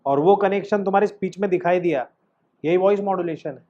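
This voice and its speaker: A man's voice: sits high, fast talker, dark tone, dull audio. A man teaching an acting class as coach, instructive and emphatic, often putting questions to his student.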